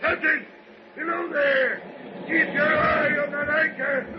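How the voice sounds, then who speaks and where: An actor playing a loyal, salty ship's mate who hollers orders in a 1940s radio adventure. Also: male voice, extremely high pitch, steady tone, takes it slowly. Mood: alarmed, shouting. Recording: muffled, very noisy old radio recording.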